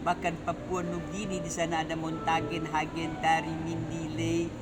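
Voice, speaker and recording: A man's voice: a little high, monotone, normal speed, very noisy. A middle-aged man from Aceh with an Acehnese accent colored by Medan and Malay speech, giving an earnest personal testimony in conversation. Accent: Acehnese with some Medan and Malay dialect. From Aceh, Indonesia. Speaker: guest telling his conversion story.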